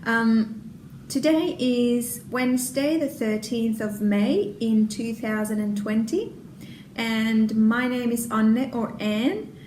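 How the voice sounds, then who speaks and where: A woman from Finland, speaking in her second language, hosts a rambling podcast at a very unhurried pace. She hesitates often and restarts her sentences. Her voice sits some way back from the mic in a roomy, echoey space with a faint hiss behind it. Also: on the high side, a little mellow, recorded from a distance. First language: Finnish. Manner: hesitant, many ums and restarts. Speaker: podcast host. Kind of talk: casual rambling chat.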